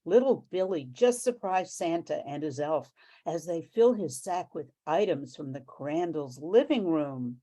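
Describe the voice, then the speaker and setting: A woman's voice: low voice, animated, a little slow, dark tone. A woman playing narrator and police sergeant in an amateur radio play, with a scripted delivery.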